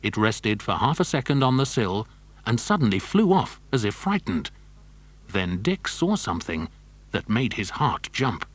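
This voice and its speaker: A man's voice: on the low side, big pitch swings, unhurried. A man narrating a children's adventure story from a script.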